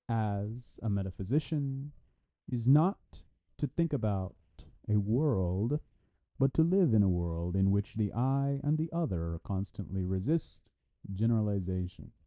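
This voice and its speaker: A man with a lowish, very dark and soft-edged voice reads a scholarly article aloud. He follows the written text closely, as a reader of academic prose does. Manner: reads punctuation and citations aloud. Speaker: reader of a translated philosophy article. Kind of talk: read-aloud of an academic book review.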